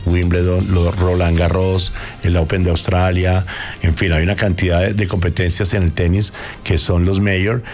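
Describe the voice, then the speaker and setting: This is a male radio host with a voice on the low side. He chats about sport in an animated, conversational way and talks directly to his listeners. Light music plays behind him. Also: normal speed. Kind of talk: chatty sports talk on a morning radio show. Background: background music.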